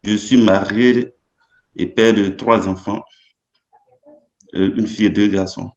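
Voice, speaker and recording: A man's voice: on the low side, fairly even intonation, slow pace, reverberant, highs cut off. A man from Côte d'Ivoire, a baptism candidate, speaking hesitantly and repeating words as he explains himself. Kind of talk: personal testimony.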